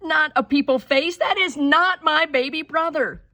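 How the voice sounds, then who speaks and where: A woman's voice: high voice, measured pace, pushed and bright, voice pushed up, full character voice. A woman voicing a blunt, indignant little girl in a storybook, with emphatic, protesting delivery. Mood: indignant, insistent. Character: blunt, indignant little girl inspecting her supposed baby brother.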